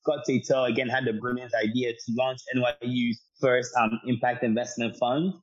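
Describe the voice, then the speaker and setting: A man's voice: medium pitch, level tone, moderate pace. A young man from Ghana, a podcast guest, telling his career story in an earnest, talkative way with many restarts. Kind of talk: storytelling interview answer. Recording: dull lo-fi sound.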